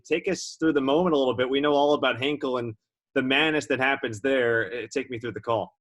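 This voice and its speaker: A man with a medium-pitched voice hosts a sports interview. He talks conversationally and lets his questions ramble in a chatty way. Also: a little fast, a bit bright. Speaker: interview host.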